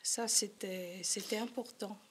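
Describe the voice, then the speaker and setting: A woman's voice: medium pitch, fairly even intonation, a little slow, breathy edge. An older woman, a talk show guest, recalling her experiences conversationally in plain, short phrases. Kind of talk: conversational personal recollection.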